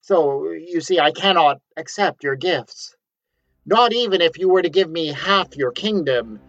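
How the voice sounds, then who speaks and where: A man voicing a wild-eyed young male prophet, fervent and shrill, who stammers when cornered. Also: measured pace, a bit bright, dry recording. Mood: flustered. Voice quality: shrill, screaming. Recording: dry, dull lo-fi recording.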